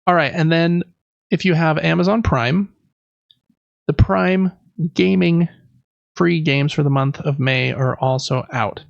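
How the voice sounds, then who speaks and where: A man's voice: on the high side, unhurried, creaky, long pauses, no echo, muffled recording. A man hosting a podcast, chatting informally and with enthusiasm about games. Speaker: podcast host. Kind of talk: casual gaming podcast chat.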